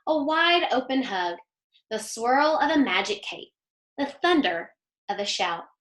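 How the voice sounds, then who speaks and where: A woman reading the part of a gentle, loving storybook mother, tender and admiring with her young sons. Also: on the high side, very animated, measured pace, reverberant.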